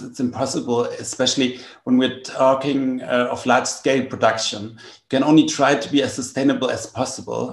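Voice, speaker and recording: A man hosting a video call interview, asking prepared questions in a formal, measured way. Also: mid-range voice, gravelly. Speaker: host, interviewer. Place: bright room. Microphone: webcam on a video call. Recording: echoey room on a video call.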